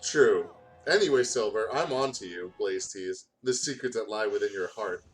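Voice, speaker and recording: A man's voice: lowish voice, animated, normal speed, soft background music. A man reading fan fiction aloud in a casual, joking way, often stumbling over his words.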